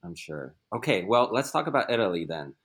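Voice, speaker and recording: A man's voice: fairly low pitch, very animated, fairly quick, a bit bright, slightly rough. A young man hosting a casual podcast interview, asking loose questions with fillers. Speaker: podcast host.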